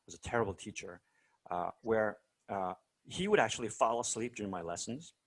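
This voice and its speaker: A man's voice: fairly low pitch, expressive, brisk, slightly rough. A man from South Korea being interviewed over a video call, telling stories casually with many fillers and restarts. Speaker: guest musician.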